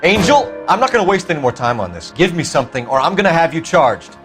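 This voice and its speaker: An actor playing a stern young American police detective in a video game, questioning in a clipped, formal, accusatory way. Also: male voice, fairly high pitch, very animated, fast talker, fairly bright tone, faint music behind. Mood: accusing, stern. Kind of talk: acted dramatic video game dialogue.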